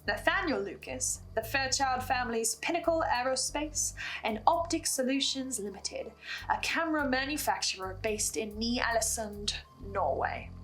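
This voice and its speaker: A woman's voice: on the high side, a bit bright, poor audio. A woman narrating a scripted recap as the host of an analysis video, with an earnest, investigative manner. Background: music bed.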